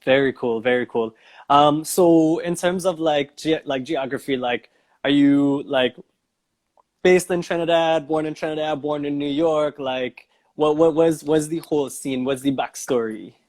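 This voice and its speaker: A man's voice: a little high, unhurried, darkish tone. A man hosting a casual talk show interview, speaking in an encouraging, affirming way.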